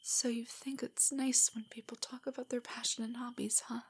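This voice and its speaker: A woman performs a nerdy young girlfriend in an intimate audio drama. Her voice is fairly high and breathy, often slipping close to a whisper. She is nervous, self-doubting and affectionate, hesitating and stammering as she speaks. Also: flat delivery, normal speed. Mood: shy, hesitant.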